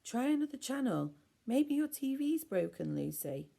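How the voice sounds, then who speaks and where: Woman putting on a bossy, high-pitched young girl. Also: very animated, normal speed, gravelly, mellow, heavily acted. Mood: demanding. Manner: demanding.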